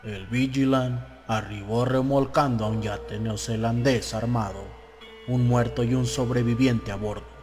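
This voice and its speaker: A man's voice: medium pitch, steady tone, unhurried, a little mellow. A man narrating an audiobook, reading out a newspaper report in a dramatized style. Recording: long hall reverb, music behind. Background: music.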